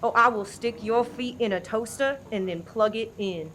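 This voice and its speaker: A woman's voice: a little high, normal speed, a bit bright, a little hiss or hum, lightly acted. A woman playing a bossy, no-nonsense diner owner who scolds in a comic radio play. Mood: angry scolding.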